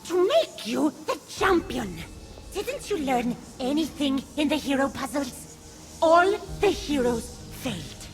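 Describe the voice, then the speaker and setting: An insecure, childlike goddess in a video game cutscene. Her very high voice swings widely in pitch as she pleads and halts. Music plays clearly behind her. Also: big pitch swings, slow, full character voice. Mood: stern, insistent.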